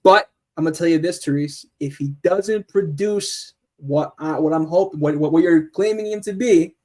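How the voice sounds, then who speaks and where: A man hosting a live football chat, talking in an animated, playful way with lots of fillers and restarts. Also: a little high, normal speed. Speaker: host.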